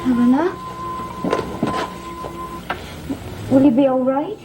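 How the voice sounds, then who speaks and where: A young actress playing an earnest, naive and protective girl in a gothic soap opera, gentle and pleading. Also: high-pitched, fast, a little hiss or hum, close to an everyday voice, rough recording. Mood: anxious, protective. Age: young adult. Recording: loud music behind the dialogue.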